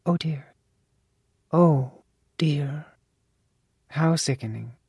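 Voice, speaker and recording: A woman's voice: deep voice, drawn-out words, mellow, no echo, studio quality, exaggerated voice. An audiobook narrator voicing a fond, wry older mother, a formal and imperious woman who teases as she talks. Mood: regretful. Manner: formal, crisp, teasing phrasing. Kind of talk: audiobook character dialogue.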